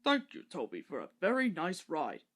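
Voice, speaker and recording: A woman voicing a stout, kindly older gentleman in a storybook, measured, courteous and important-sounding. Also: on the low side, wildly swooping pitch.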